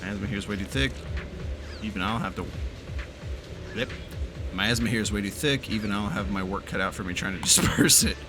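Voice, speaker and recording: A man giving casual, profane, joking and excitable commentary as he reacts to a video game. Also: fairly low pitch, moderate pace, pauses often. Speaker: gamer reacting to game cutscenes. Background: game music and sound.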